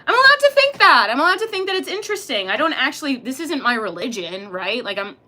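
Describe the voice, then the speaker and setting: Bright, slangy young woman streamer. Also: high-pitched, fast. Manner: rambling, slangy, animated. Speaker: livestreamer reading chat. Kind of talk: casual live-stream chat.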